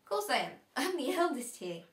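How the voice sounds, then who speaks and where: Woman acting bedtime storyteller, echoey, higher voice. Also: very animated, brisk, fairly bright tone. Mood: friendly, teasing. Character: friendly, teasing older female relative reading bedtime stories. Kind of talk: bedtime storytelling in amateur drama. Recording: roomy echo, faint background noise.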